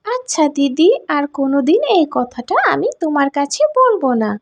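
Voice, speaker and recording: An anxious, curious little sister in a storybook cartoon , put on by a woman narrator. Her voice is high, with an earnest and childlike delivery. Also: big pitch swings, normal speed, highs cut off.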